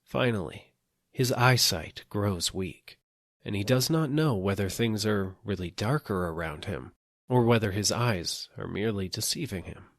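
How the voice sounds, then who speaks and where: A man with a low voice reads literary fiction as an audiobook narrator. His delivery is measured and deliberate, moving phrase by phrase. The recording is dry, with hardly any room sound. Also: dry recording.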